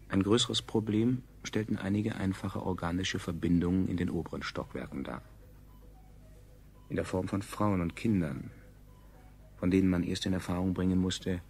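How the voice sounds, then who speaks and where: An actor playing a detached narrator in a science fiction radio play, speaking in a dry, ironic, wordy way. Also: male voice, low voice, vocal fry, darkish tone. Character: detached, ironic male narrator recounting a catastrophe. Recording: faint noise, 1970s radio production.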